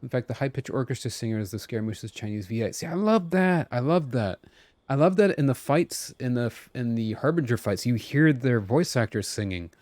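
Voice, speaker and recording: A man's voice: medium pitch, animated, fairly quick, darkish tone, no room sound. A man chatting casually as a game streamer, talking to himself and to his viewers.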